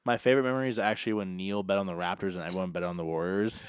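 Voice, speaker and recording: A young man with a lowish, clean, resonant voice co-hosting a casual podcast. He jokes, echoes his own lines, and gives shout-outs. The recording is close and dry. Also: fairly even intonation, racing, smooth. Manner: joking, repeats himself, shouts out friends. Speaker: podcast co-host. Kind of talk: casual chat.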